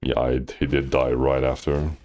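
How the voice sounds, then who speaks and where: A man's voice: extremely low pitch, little pitch movement, normal speed, a little mellow. A man coaching on a live stream, reviewing gameplay bluntly with lots of fillers. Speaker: game coach.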